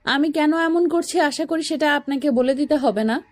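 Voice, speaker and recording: Casting: actress as emotional young romantic heroine, high-pitched voice, audio love story. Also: female voice, normal speed, no echo, lightly acted. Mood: hurt. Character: young woman, boss's shy, emotional romantic love interest.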